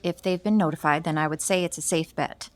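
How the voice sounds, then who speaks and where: A woman's voice: deep voice, fairly even intonation, a little fast, close to an everyday voice. A woman playing a matter-of-fact police detective in a naturalistic audio drama, asking direct, clipped, probing questions. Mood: composed, apologetic.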